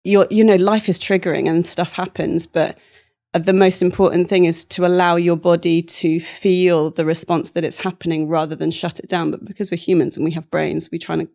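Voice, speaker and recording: A woman's voice: fairly low pitch, flat delivery, normal speed, creaky, dark tone. A woman, a somatic therapist, explaining earnestly as a podcast guest, restarting her sentences often. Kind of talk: reflective podcast interview.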